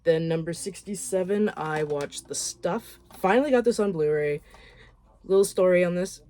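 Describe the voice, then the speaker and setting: A woman with a voice roughened by a cold, chatting frankly and loosely as she runs through a list of films. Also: on the low side, moderate pace, dark tone. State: hoarse from a cold. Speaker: horror film vlogger. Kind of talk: casual movie recap.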